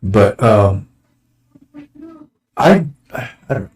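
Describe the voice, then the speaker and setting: A man in his forties, hosting a casual chat show, his voice a little raspy from dust, rambling along with lots of fillers. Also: lowish voice, expressive, extremely slow, a little mellow. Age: 40s. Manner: rambling, with many fillers and restarts. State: slightly raspy from breathing dust. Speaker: show host. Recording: roomy echo.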